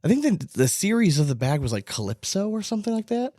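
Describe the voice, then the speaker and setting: A man's voice: high-pitched, sing-song, fast, mellow, dry recording, studio quality. An American man co-hosting a podcast in casual, rambling talk, often leaning on filler words like "like". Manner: rambling, informal, frequent "like". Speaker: podcast co-host. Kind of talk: casual chat.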